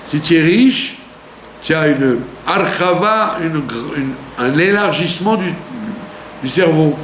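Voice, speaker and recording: Animated rabbi teaching in an echoey room. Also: male voice, a little high, slow pace. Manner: animated teaching, with rhetorical questions and frequent "d'accord?". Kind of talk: Torah lesson sermon. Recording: echoey room with faint hum.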